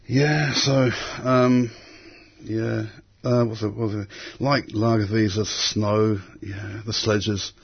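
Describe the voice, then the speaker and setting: A man in his sixties, raised in England, thoughtfully recalling his past in an interview, with frequent pauses and restarts. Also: on the low side, steady tone, vocal fry, slightly rough. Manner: hesitant, many restarts and fillers. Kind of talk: reflective conversational storytelling. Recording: dull lo-fi recording.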